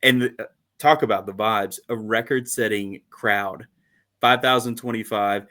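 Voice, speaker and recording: A man co-hosting a fan podcast in a casual, animated chat, laughing often and using lots of fillers. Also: fairly low pitch, unhurried. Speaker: podcast co-host.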